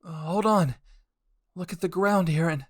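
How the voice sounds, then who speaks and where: A protective young woman soldier, acted by a man in an audio story. The voice is lifted in pitch, careful and urgently cautioning, recorded dry. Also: unhurried, no echo. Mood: urgent warning.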